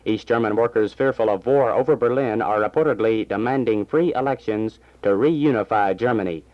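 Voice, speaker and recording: Muffled vintage radio newsman. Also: fairly low pitch. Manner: formal, measured announcer delivery. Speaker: radio newsreader and station announcer. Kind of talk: 1960s scripted radio news reading. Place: radio station studio. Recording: dull lo-fi vintage AM radio recording.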